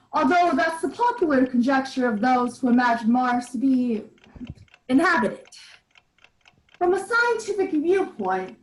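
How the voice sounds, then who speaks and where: A young woman playing a dignified, skeptical male astronomy professor with a formal, assured manner in a radio play. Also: high-pitched. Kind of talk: scripted radio play acting. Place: living room, video call. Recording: roomy video call sound.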